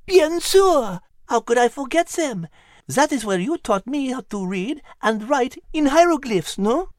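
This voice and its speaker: Storybook cat, female actress: French accent, refined and fond, highish voice, dry studio sound. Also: big pitch swings, a little slow, no echo. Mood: delighted, fond. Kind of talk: acted audio storybook dialogue.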